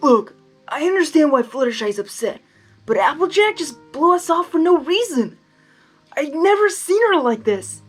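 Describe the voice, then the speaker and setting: Woman doing a determined young boyish character, high pitch, loud, earnest, storybook reading. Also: very animated, moderate pace, faint music behind. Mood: insistent.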